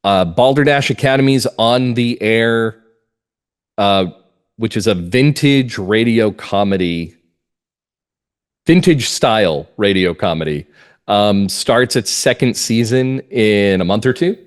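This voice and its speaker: A man's voice: fairly low pitch, slow, smooth. Male playwright in casual interview chat. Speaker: podcast guest, playwright.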